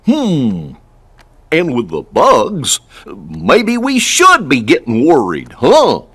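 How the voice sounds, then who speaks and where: An actor voicing a loyal, anxious animal sidekick in a cartoon video game, with a husky voice and fretful manner. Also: mid-range voice, huge pitch swings, takes it slowly, a bit bright, full character voice. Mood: worried.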